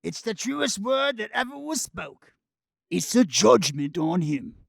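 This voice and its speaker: Woman doing a very gravelly, shady scavenger. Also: mid-range voice, very animated, unhurried, no echo, studio quality, full character voice. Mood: grimly judging. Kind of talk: amateur radio drama acting.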